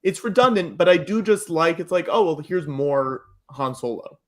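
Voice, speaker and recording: A man's voice: a little high, animated, moderate pace, fairly bright tone. A man chatting casually as a podcast panelist. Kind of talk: casual chat.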